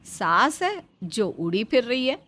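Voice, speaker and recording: A woman's voice: a little high, very animated, slow pace, fairly bright tone. A woman reading scripted narration for a story as its narrator.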